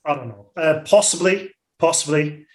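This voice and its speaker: A man with a Dutch accent speaking as an interview guest, blunt and outspoken, often halting and repeating words. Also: a little high, reverberant. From Netherlands. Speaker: interview guest, orchard business owner. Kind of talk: radio interview.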